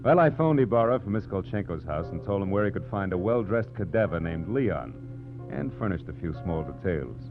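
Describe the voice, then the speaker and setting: An actor playing a wisecracking hardboiled private detective in 1940s radio noir, with a dry, sardonic delivery. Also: male voice, sits low, very animated, brisk, over music. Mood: narrating. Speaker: lead actor and narrator of a detective series. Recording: muffled vintage 1940s radio recording.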